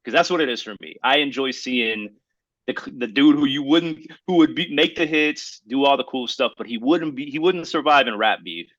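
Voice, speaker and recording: A man's voice: mid-range voice, moderate pace, a bit bright, no echo, dull audio. A man hosting a casual hip-hop podcast debate, speaking in an emphatic, slangy way and repeating himself for emphasis. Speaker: podcast host.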